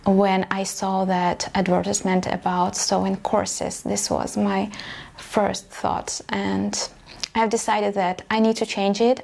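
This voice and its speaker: A woman's voice: mid-range voice, gravelly, darkish tone. A woman in her late thirties whose first language is Russian, sharing her personal story in an earnest, reflective vlog. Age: late 30s. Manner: earnest, reflective, with small grammar slips. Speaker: vlogger and model coach.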